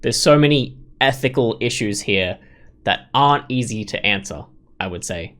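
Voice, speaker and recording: A man's voice: mid-range voice, lively intonation, moderate pace, a bit bright. A man hosting a gaming video, giving casual commentary and reading game text aloud in a reflective way.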